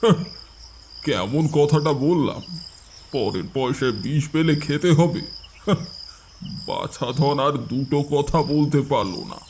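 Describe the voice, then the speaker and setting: A man's voice: on the high side, lively intonation, slow, darkish tone, a little hiss or hum. An actor playing a stubborn, orthodox father who scolds his son and gloats over his own arguments. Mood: scolding. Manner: scolding, self-satisfied.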